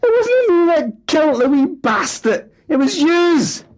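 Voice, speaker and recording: A man voicing a fat, hot-tempered middle-aged man who shouts at a strained high pitch. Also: big pitch swings, measured pace, heavily acted. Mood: bawling grief.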